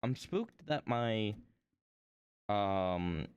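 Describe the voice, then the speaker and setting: A man streaming from home while he draws, chatting about his desk microphone in a rambling, self-deprecating way, his voice a little hoarse. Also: very slow, muffled recording. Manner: rambling, self-deprecating, lively swings in pitch. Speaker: art streamer. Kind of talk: casual stream chat.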